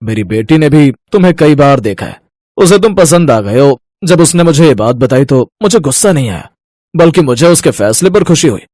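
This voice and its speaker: An actor playing a genial, plain-spoken older company boss with an avuncular manner in a family storybook tale. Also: male voice, on the high side, lively intonation, normal speed, a little mellow, no room sound, studio quality. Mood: genial, assured.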